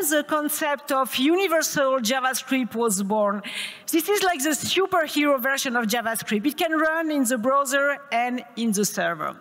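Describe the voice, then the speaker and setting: Upbeat French woman presenting at a conference, high voice, long hall reverb. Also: a bit bright. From France. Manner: upbeat, asks the audience questions. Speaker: conference speaker. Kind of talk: tech conference talk.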